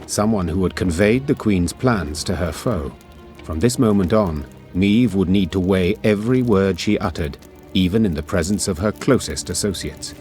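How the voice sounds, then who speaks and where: A man playing a storyteller, narrating a grave war saga for a fantasy game in a measured, solemn manner. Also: deep voice, a little mellow, faint music behind, lightly acted. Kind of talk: scripted dramatic storybook narration.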